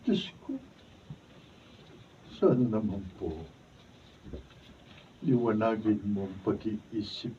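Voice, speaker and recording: A man's voice: fairly low pitch, slow pace, pauses often, warm tone. A man acting in a Filipino radio drama as a devout, forgiving father, earnest and solemn, with a Filipino accent. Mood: wistful, prayerful. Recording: distant mic, dull noisy room recording.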